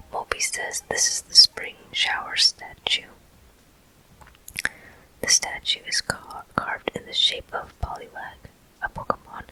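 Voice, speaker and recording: A person whispering ASMR game commentary in a deliberate manner, pausing between short phrases. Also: extremely high pitch, pushed and bright, low-quality recording. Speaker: gameplay host.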